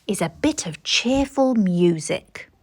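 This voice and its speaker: Woman playing a slow, emphatic, determined storybook lady cyclist. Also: mid-range voice, expressive, a little mellow, breathy edge. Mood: emphatic, resolved. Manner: slow, emphatic, drawn-out delivery. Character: determined, resourceful, cheery eccentric woman cyclist. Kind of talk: children's storybook read-aloud.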